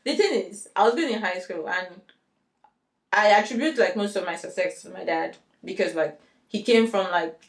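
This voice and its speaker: A woman from Nigeria with a Nigerian accent, a guest telling her story in a relaxed, animated way. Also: medium pitch, moderate pace, roomy sound. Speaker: guest software engineer. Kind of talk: casual interview chat.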